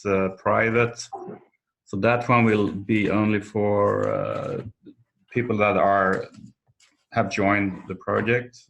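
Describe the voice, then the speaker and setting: A man chairing an informal conference call meeting in a halting, tentative way. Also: fairly low pitch, gravelly, a bit bright.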